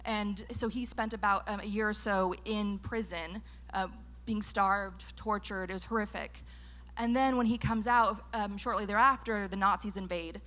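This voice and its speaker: A woman's voice: medium pitch, fairly bright tone. A woman from Northern California with an American accent, giving an earnest conference talk on a handheld microphone, with frequent ums and restarts. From Northern California, USA. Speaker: public speaker. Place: lecture venue.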